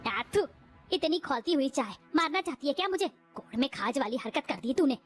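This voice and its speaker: A woman's voice: high voice, expressive, fairly quick, soft background music. A woman voicing a cruel, domineering mother-in-law in a cartoon who scolds and taunts. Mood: scolding.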